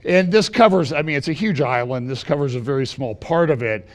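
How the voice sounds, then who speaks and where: A middle-aged man, slightly raspy from feeling unwell, giving a rambling prophecy update at church with many fillers and restarts. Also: a little high, lively intonation, fairly quick. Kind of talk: casual prophecy update talk.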